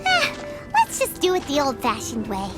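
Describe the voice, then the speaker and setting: A very high, squeaky game fairy, a tiny floating girl sidekick. She is perky and cheeky, swings wildly up and down, and has music playing behind her. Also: wildly swooping pitch, normal speed, rough recording. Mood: doubtful. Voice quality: squeaky, childlike. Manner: perky, speaks of self in third person. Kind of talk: video game character acting.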